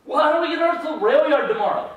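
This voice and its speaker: A man playing a blunt, morbid, rough-talking rail yard townsman in dramatic stage storytelling. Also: very high voice, expressive, racing, phone-quality sound. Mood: impatient. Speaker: actor in a student readers theater group. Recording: very echoey hall, distant mic.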